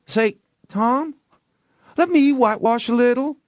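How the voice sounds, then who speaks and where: Man voicing an excitable, high-pitched rustic village boy. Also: measured pace, dry recording. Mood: eager pleading. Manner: rustic, folksy boyish dialect, excitable. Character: young village boy, cocky teasing then eagerly pleading. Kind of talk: audiobook character voice.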